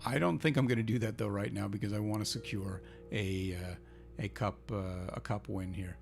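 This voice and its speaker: Icelandic male host, low voice with fry, casual play-by-play cheering his team on. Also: normal speed, over music. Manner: chatty, thinking aloud, cheering his team on. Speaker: gaming channel host. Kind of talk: casual football manager game commentary.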